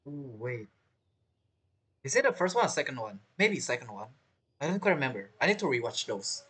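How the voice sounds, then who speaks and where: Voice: medium pitch, sing-song, brisk, fairly bright tone, breathy edge. A game streamer giving chatty, reactive commentary over a playthrough. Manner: chatty, reactive, often laughing. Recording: game music behind the voice.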